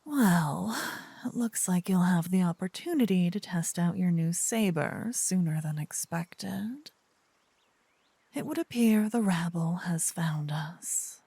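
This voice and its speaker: A woman's voice: lowish voice, lively intonation, slow pace, mellow, dry recording, heavily acted. A woman playing a possessive demon queen, doting yet wrathful, in a whispery ASMR fantasy roleplay with grand, theatrical phrasing. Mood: wary, murmuring. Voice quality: breathy, whispery.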